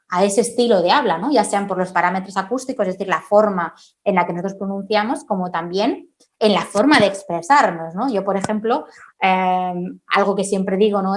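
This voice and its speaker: A woman forensic linguist from Spain, answering questions at a conference in an explanatory way, often tagging her sentences and filling pauses. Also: medium pitch. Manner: explanatory, frequent "¿no?" tags and "eh" fillers.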